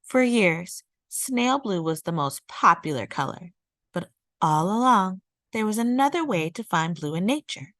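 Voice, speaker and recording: A woman narrating a children's nonfiction picture book as a storytime reader, with measured, deliberate phrasing. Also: mid-range voice, animated, a bit bright, no room sound, studio quality. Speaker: storytime read-aloud host.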